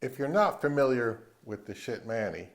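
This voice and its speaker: A man's voice: mid-range voice, animated, moderate pace. A man narrating a product review as the host of his own audio channel.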